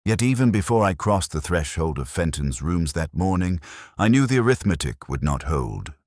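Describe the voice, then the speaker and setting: A man reading first-person mystery narration in a measured, brooding, literary manner. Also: deep voice, big pitch swings, a little mellow, dry recording, studio quality.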